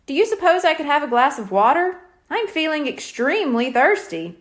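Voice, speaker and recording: Enthusiastic storybook mom, acted by a woman reader, high and lively, with emphatic delivery. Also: moderate pace. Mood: pleading. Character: enthusiastic mother, gushing and thirsty.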